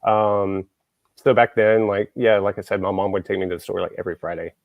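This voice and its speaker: A man's voice: fairly low pitch, fairly even intonation, a little fast, creaky, no room sound, narrow, tinny audio. A man from Texas, hosting a show and chatting casually, rambling with lots of fillers and restarts. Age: adult, grew up in the 1980s. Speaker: show host. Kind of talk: casual podcast chat.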